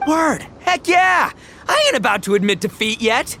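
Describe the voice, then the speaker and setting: A man playing a brash, excitable young oni gang boss in an anime game, with a bombastic, melodramatic delivery. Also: very high-pitched, moderate pace, creaky, raised voice, a little hiss or hum, heavily acted. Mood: fired up. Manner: bombastic, melodramatic, stammering when flustered.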